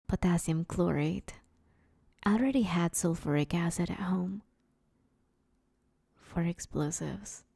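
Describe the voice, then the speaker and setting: A woman's voice: fairly low pitch, slow pace, gravelly, long pauses, dark tone, breathy edge, dry recording, studio quality, heavily acted. A woman playing a broke, sheepish but scheming villain in a conversational comedy audio roleplay, wheedling her neighbor in an awkward, hesitant way. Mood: sheepish, evasive.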